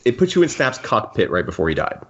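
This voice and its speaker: A man's voice: medium pitch, very animated, fast talker, a bit bright, a little hiss or hum. A man chatting casually and keenly as a podcast co-host about fan details. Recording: dull, lo-fi microphone sound.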